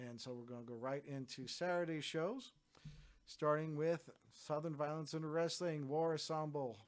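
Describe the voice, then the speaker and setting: A man hosting his own weekend events roundup from home, chatty and relaxed, with plenty of filler words and dry little asides. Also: medium pitch. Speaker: host of a wrestling events roundup show. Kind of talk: casual solo roundup, talking to camera.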